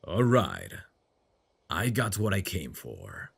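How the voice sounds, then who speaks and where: Man acting a very deep, slow fantasy villain. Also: huge pitch swings, no room sound, full character voice. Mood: smug, menacing. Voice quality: low gravelly murmur, often dropping to breathy whispers. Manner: slow, teasing, seductive delivery. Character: male fantasy villain, possessive, teasing, devoted to his bride. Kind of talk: intimate ASMR roleplay audio drama.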